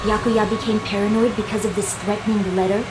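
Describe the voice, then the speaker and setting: A woman voicing an animated teenage girl student in an anime murder mystery game. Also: mid-range voice, quick pace, background noise, close to an everyday voice. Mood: doubtful. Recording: loud game music underneath.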